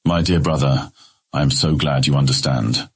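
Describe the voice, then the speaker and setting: Smug, sneering brother. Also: male voice, very deep voice, steady tone, normal speed, slightly rough, heavily acted. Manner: smug, mocking, coldly sarcastic. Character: scheming, condescending noble elder brother. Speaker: voice actor. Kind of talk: audio drama acting.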